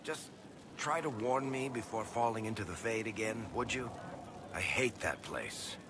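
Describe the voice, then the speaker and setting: An actor playing a wry, world-weary dwarf storyteller in a video game, with a sardonic, casual way of talking. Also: male voice, lowish voice, lively intonation, unhurried, noisy background. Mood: somber, wry.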